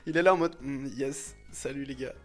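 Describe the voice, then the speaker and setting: A man, chatting casually on a livestream in a slangy, teasing, expressive way. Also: medium pitch. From France. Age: 20s. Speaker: livestreamer reacting to images and chat. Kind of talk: casual chat, livestream commentary.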